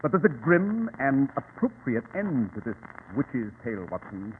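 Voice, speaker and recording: An actor playing a brilliant, self-assured detective with precise, formal delivery in a 1940s radio drama. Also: male voice, mid-range voice, big pitch swings, measured pace. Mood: grave, triumphant. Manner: precise, formal, didactic explaining. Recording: tinny, hissy 1940s radio recording.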